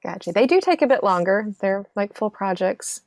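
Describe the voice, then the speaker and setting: A woman's voice: mid-range voice, animated, normal speed, darkish tone. A woman co-hosting a podcast, offering brief affirmations and short follow-up questions. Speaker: podcast co-host. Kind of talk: casual podcast interview.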